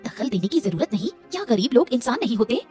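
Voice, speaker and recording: A woman's voice: fairly high pitch, very animated, fast, warm tone, faint music behind. A woman voicing an outspoken, principled married village woman in a cartoon story, challenging and reproachful. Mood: righteous, reproachful. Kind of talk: Hindi cartoon story dialogue.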